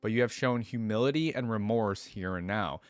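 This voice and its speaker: Man reading as calm warrior queen, lowish voice. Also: no echo, natural voice. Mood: calm, resolute. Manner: measured, formal. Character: calm, commanding young warrior queen showing mercy.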